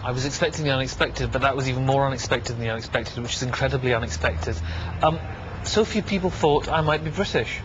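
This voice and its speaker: A Scottish man presenting on a city street, teasing and quick-witted with passers-by. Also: mid-range voice, lo-fi sound, background noise. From Scotland. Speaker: TV presenter interviewing people on the street. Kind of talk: playful street interviews. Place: busy city street outdoors.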